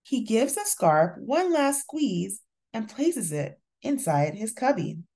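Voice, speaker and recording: A woman reading a children's picture book aloud in a deliberate, expressive storytelling manner. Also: a little high. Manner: slow, deliberate, expressive delivery for young children. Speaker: children's story reader and channel host.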